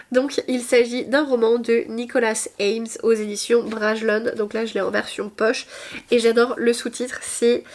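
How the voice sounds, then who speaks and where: Nasal, stuffy-nosed woman chatting. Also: fairly high pitch. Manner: rambling, hesitant, self-mocking, many fillers. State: stuffy nose from a cold. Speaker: booktuber. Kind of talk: casual reading vlog chat.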